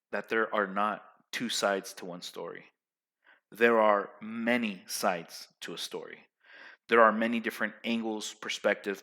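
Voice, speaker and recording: A young man giving casual social advice to his viewers in a loud, emphatic, rambling way, full of restarts. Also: fairly low pitch.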